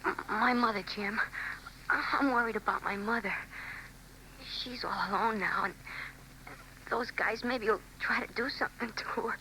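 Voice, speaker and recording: A woman voicing a badly hurt young newsboy in a 1940s radio drama, stammering and hushed. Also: fairly high pitch, normal speed, slight background noise, rough recording. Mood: worried. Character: injured young newsboy, frightened and weak.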